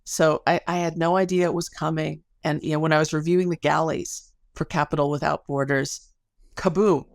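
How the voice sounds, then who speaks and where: A woman's voice: deep voice, fairly even intonation, fairly quick, vocal fry, no echo, studio quality. A woman being interviewed as an academic researcher, telling stories conversationally with colloquial asides and vivid phrasing.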